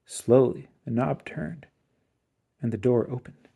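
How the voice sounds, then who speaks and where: A storybook reader giving an expressive children's read-aloud narration. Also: medium pitch, measured pace, gravelly, slightly rough, muffled recording.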